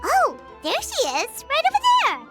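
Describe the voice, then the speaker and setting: A tiny, perky game character, extremely high and sing-song lively, teasing in manner, with music clearly playing underneath. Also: measured pace, full character voice. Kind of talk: video game character acting.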